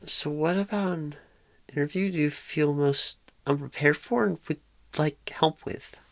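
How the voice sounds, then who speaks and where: A woman playing a nervous, inexperienced job recruiter in an ASMR roleplay, halting, stammering and apologetic. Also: deep voice, slight background noise. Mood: nervous, hesitant. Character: painfully shy, nervous, inexperienced job recruiter on first day. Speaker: job interviewer. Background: computer keyboard typing.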